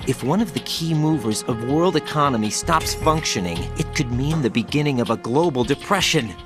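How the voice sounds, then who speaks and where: Guy doing a young rookie agent in a game, earnest n always questioning, normal pitch, music under him. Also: animated, moderate pace, natural voice. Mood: alarmed. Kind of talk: dramatic video game voice acting.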